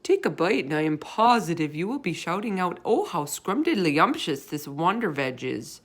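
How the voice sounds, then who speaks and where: A woman plays a gentle male giant in a storybook. He is earnest, innocent and kindly, speaking with a dignified air in quaint, ungrammatical turns of phrase. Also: fairly low pitch, sing-song, moderate pace. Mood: earnest, persuading. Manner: earnest, moralising, ungrammatical speech. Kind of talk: storybook read-aloud character voice.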